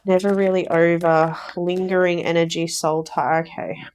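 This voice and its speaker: A woman giving a casual tarot reading for viewers, croaky from a recent flu, rambling and repeating herself. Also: fairly low pitch, level tone, normal speed, darkish tone. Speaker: tarot reader.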